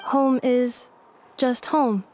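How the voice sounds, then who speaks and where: A woman voicing a placid robot maid in a video game, terse, halting and machine-like. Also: high voice, steady tone, mellow, slight background noise. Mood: hesitant. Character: placid female robot maid with impaired memory.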